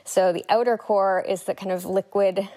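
A woman's voice: medium pitch, steady tone, moderate pace, warm tone. Woman, journalist guest, casual interview, enthusiastic, chatty. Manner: chatty, enthusiastic, with fillers and restarts.

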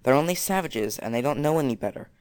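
A brisk, bossy schoolboy from a storybook, played by a woman reader. The voice drops lower and is dry and close, frank and matter-of-fact. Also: expressive, darkish tone, no echo.

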